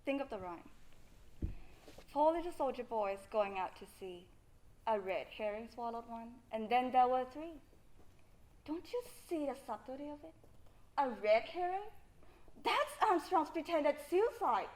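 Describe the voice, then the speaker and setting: A woman's voice: high voice, expressive, unhurried, long pauses, a little mellow, low-quality recording. An actress playing an intense, insistent young woman in a stage play, with an earnest, emphatic delivery. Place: stage performance.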